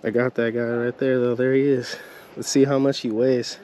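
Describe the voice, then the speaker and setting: A man's voice: mid-range voice, level tone, normal speed. Man, laid-back fishing vlogger, casual chat to viewers, outdoors, noisy background with traffic. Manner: laid-back, talks to viewers as "guys" and "bro". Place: outdoors by an aqueduct.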